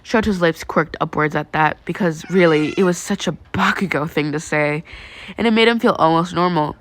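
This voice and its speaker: A woman narrating fan fiction as an expressive story reader. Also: fairly low pitch, normal speed.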